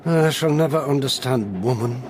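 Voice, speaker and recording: An actor with a British accent playing an older, fussy country parson, a sceptical and protective uncle in a radio drama. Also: male voice, normal speed, close to an everyday voice. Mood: sceptical, probing. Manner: grumbling, sceptical. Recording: noisy ambience, vehicle rumble behind.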